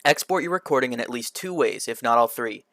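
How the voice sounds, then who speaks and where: A man presenting a scripted software tutorial in an instructional, step-by-step manner, occasionally stumbling over a word. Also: mid-range voice, lively intonation, a little fast, creaky, dry recording, clean studio sound. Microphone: computer microphone in a quiet home room, voice-over.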